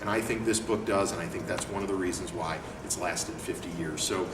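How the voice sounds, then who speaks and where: A man presenting a picture book read-aloud and talking informally as an educator, with an expressive delivery. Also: deep voice, brisk. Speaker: educator and book company owner reading aloud. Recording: echoey room, distant mic, clear background noise.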